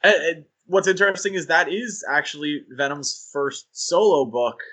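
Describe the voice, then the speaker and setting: Podcast co-host, male, bright and a bit high, easygoing and enthusiastic, lots of fillers. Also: normal speed. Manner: enthusiastic, rambling with fillers and laughs. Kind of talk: casual chat.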